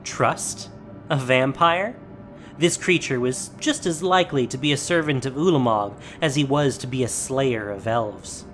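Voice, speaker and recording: A man's voice: medium pitch, unhurried, a bit bright, soft background music. Man narrating a solemn fantasy story.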